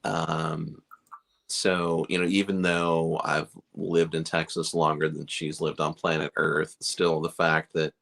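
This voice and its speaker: Middle aged guy from chicago, really deep gravelly voice, rambly and self-deprecating, lots of ums. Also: level tone, slow, a bit bright. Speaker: beard company owner, guest. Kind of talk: casual livestream chat.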